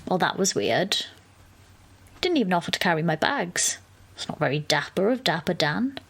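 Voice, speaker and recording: A woman's voice: lowish voice, big pitch swings, normal speed, vocal fry, a bit bright, husky, exaggerated voice. An actress playing an exasperated, wry young woman who narrates an audio drama murder mystery. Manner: wry, sardonic.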